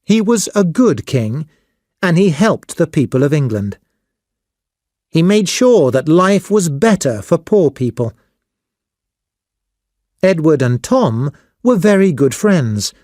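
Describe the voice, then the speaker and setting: Slow, expressive male lesson narrator. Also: on the high side, no echo, studio quality. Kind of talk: scripted story narration for language learners.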